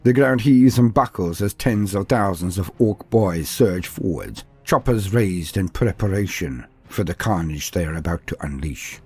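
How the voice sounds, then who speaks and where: Low, mellow man in dramatic narration. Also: lively intonation, normal speed, hardly any pauses, husky. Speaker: narrator of a fantasy war-game lore video. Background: music.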